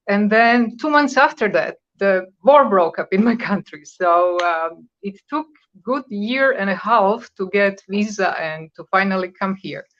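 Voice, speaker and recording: A woman's voice: medium pitch, lo-fi sound. A Croatian-born woman in her fifties, a native Croatian speaker, answering as a guest in a radio interview with frequent hesitations. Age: 50s. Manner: conversational, searching for words, with hesitations.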